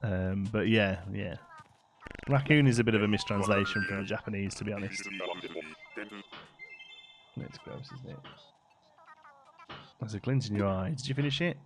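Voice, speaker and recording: A man's voice: moderate pace, pauses often. A man chatting casually while streaming a game, thanking viewers and reading their gift notes. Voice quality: deep voice. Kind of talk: casual live gameplay chat. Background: game music.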